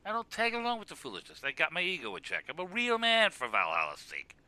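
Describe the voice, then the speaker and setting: A man's voice: high-pitched, huge pitch swings, fast, sharp tone, heavily acted. A man playing an exasperated, mocking wrestler in a scripted web cartoon, scolding with emphatic sarcasm. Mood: indignant. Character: exasperated, mocking male wrestler, the self-styled straight man. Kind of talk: scripted comic argument in web animation.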